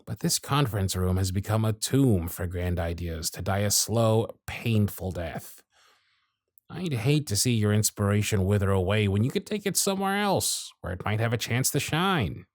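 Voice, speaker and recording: Guy voicing a tired sarcastic workshop supervisor, nearly a century on the job, blunt, lively up-and-down delivery, audio drama. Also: fairly low pitch, moderate pace, dry recording, studio quality, natural voice. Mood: weary, stern. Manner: sardonic, blunt. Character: jaded male workshop supervisor, century-old, gruff and weary. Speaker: voice actor in audio roleplay. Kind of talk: ASMR roleplay acting.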